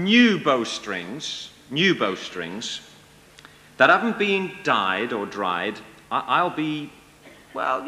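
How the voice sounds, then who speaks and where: A man's voice: high-pitched, bright, forceful tone, full character voice. Man acting a stammering, exasperated strongman, echoey hall. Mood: hesitant, evasive. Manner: hesitant, stammering, comic repetition. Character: exasperated, bumbling strongman hero, easily worn down. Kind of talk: comic staged Bible story reading. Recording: echoey hall, clear background noise.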